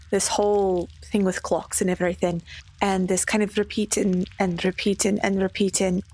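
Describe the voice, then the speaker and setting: A woman improvises in character at a tabletop game. Her voice has a medium pitch, and she tentatively pieces her thoughts together as she talks. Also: a little mellow. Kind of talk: in-character improvised roleplay talk.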